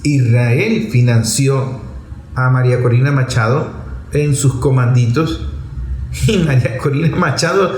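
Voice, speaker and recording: A man's voice: mid-range voice, measured pace, a little mellow, slight background noise. A man giving a political commentary monologue in an emphatic, didactic way, repeating phrases for effect. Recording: echoey room with music underneath. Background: music.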